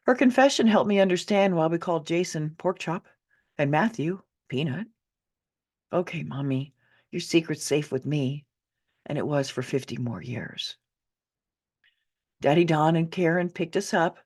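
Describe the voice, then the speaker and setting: A woman's voice: low voice, animated, normal speed, darkish tone. A woman in her fifties reading her own memoir as an audiobook, with theatrical, emotive and emphatic delivery. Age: 50s. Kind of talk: dramatic first-person audiobook narration.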